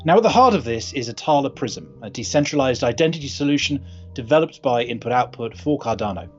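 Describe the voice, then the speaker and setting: A man giving steady, scripted promotional narration. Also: mid-range voice, brisk. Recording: slightly dull lo-fi audio with music.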